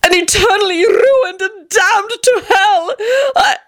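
A woman's voice: very high voice, takes it slowly, pitched above the performer's own voice. A woman voicing a melodramatic young man who wails and sobs in grand, old-fashioned phrases. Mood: wailing. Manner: shrieking, sobbing, archaic grand phrasing. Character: melodramatic wailing young man, theatrical and self-pitying.

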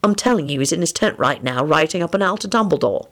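A dutiful, fretful young wizard , as a woman reader plays him, earnest and a little scolding. The pitch moves about freely. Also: expressive, fast talker, a bit bright. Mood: resigned.

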